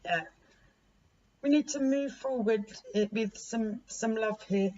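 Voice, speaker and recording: A hoarse woman in her forties giving a casual tarot reading to camera, chatty and laughing at herself as she repeats and restarts. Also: fairly high pitch, slow, warm tone. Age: 40s. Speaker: tarot reader. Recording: dull lo-fi recording with faint noise.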